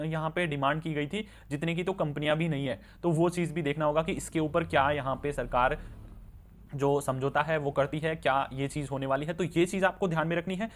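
A man with a fairly high-pitched voice presents financial news as commentary. He explains each point informally, step by step, and keeps checking in with the listener through short repeated tags. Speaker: stock market news presenter. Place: voice-over on slides with handwritten notes.

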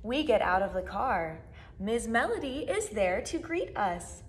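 A young woman reads a picture book aloud for small children. Her voice is high and swings widely in pitch. She goes slowly, drawing out words in a sing-song educator's style. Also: big pitch swings. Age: 20s. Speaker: children's music class educator. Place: small plain indoor room, seated.

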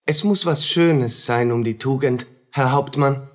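An actor playing a haunted, humble poor soldier in a radio play, speaking in halting fragments with a husky voice. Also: male voice, medium pitch, close to an everyday voice. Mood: humble, earnest.

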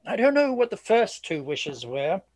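A weary old sergeant major voiced by an actor in a radio play, guarded and ominous. The phrasing is courtly and old-fashioned, the pitch moves widely, and the sound is dry and close. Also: big pitch swings, moderate pace, darkish tone, no room sound. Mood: grim, haunted.

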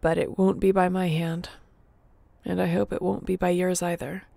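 A woman's voice: lowish voice, level tone, moderate pace, vocal fry, warm tone, dry recording. A woman playing a resilient young apocalypse survivor in an audio roleplay, speaking intimately and earnestly with a wry edge. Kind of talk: dramatic audio roleplay addressed to the listener.

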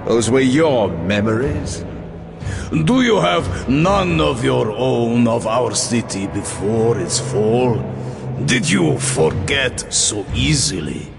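Gravelly, echoing savage warrior. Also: male voice, medium pitch, sing-song, slow, exaggerated voice. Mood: menacing, taunting. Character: scarred savage blood warrior, bitter and taunting. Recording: long echoing reverb, music underneath.

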